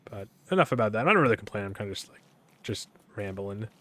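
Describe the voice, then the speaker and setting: Rambling low-voiced young man streamer. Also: quick pace, fairly bright tone. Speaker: gaming streamer. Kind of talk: casual rambling stream commentary.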